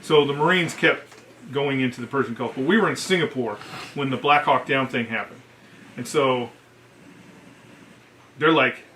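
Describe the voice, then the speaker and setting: Middle-aged American male veteran, forceful and fairly high-voiced, swearing freely through animated anecdotes and quoted dialogue. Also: slight background noise. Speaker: military veteran telling service stories. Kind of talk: casual anecdotal storytelling.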